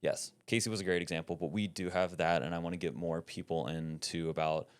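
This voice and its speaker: Male podcast guest, low voice, emphatic and conversational, explains with animated energy. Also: fairly quick, smooth. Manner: animated, emphatic, often ends points with "right?". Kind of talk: casual conversational interview.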